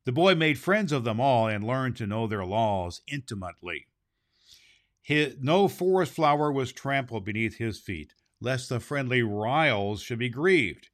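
A man playing a jolly Santa Claus, reading and hosting for children with theatrical, drawn-out phrasing. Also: medium pitch, a bit bright, dry recording, clean studio sound. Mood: storytelling, gentle. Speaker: host and story reader.

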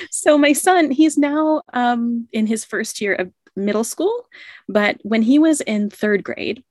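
A woman's voice: a little high, slow pace, a little mellow, no echo, studio quality. A woman telling a personal story in a casual conversation, thoughtful and often laughing. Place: lives in Japan.

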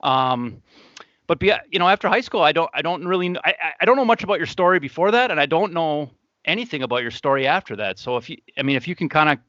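A man's voice: on the high side, animated, fast, bright, forceful tone, dry recording, highs cut off. A man hosting a podcast in a casual, earnest, conversational way. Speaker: podcast host.

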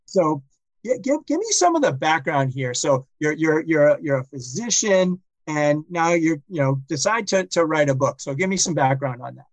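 Man, podcast host, somewhat high pitch, stammered restarts, dry close sound. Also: lively intonation, normal speed, no echo, poor audio. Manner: warm, stammering repeats at phrase starts. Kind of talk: casual interview conversation.

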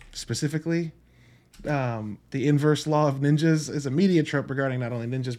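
A man's voice: medium pitch, darkish tone. A man hosting a solo podcast in a casual, enthusiastic and emphatic way, with informal language and wry asides. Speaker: podcast host reviewing films.

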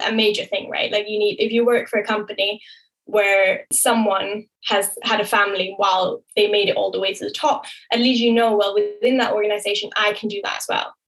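A young woman who grew up in Thailand, talking casually as a podcast guest, often tagging her points with "right?" and quick laughs. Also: mid-range voice, fairly bright tone, rough recording. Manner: chatty, frequent "right?" tags and quick laughs. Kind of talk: casual interview talk.

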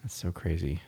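A man's voice: low-pitched, warm tone, slightly rough. A man talking in a casual conversation, reflective and rambling, with many fillers and restarts. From United States.

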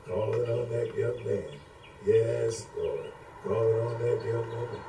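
A man's voice: mid-range voice, fairly even intonation, measured pace, mellow. A man preaching and praying fervently off the cuff, with repetitive, chant-like invocations. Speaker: preacher leading prayer. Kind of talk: fervent extemporaneous prayer and exhortation. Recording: roomy echo with faint background noise.

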